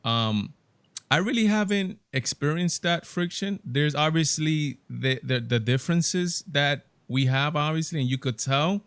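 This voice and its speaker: A young man hosting a casual discussion, talking in animated bursts and often repeating words as he gets going. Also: fairly high pitch, measured pace, clean tone, dry recording. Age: young adult.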